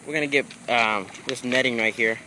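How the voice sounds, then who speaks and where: A man's voice: mid-range voice, steady tone, fairly quick. A young man narrating a casual fishing vlog outdoors, chatting to viewers as guys. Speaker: fishing vlogger. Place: outdoors by a city park pond. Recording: outdoor phone recording with noisy background.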